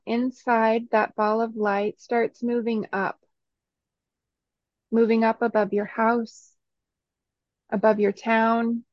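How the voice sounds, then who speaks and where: Slow, higher-pitched woman guiding meditation. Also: no room sound, lo-fi sound. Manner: soothing, instructional, short phrases with long pauses. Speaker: meditation guide. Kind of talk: guided meditation.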